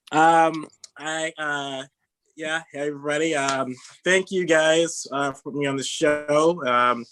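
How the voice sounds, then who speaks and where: A young man from Texas doing a stand-up set, halting and filled with "uh" and "um". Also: fairly high pitch, fairly even intonation, a bit bright, clear, resonant tone, rough recording. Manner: halting delivery with many fillers. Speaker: stand-up comedian.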